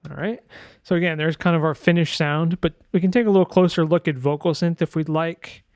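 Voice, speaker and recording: A man presenting a sound design software tutorial in a casual, informal way, thinking aloud as he demonstrates. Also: fairly high pitch, steady tone, fairly quick.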